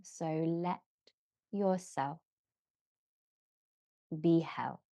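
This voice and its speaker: A woman guiding a yoga nidra relaxation in a gentle, measured manner, leaving long pauses between short phrases. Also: deep voice, gravelly, dry recording. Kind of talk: slow guided relaxation meditation.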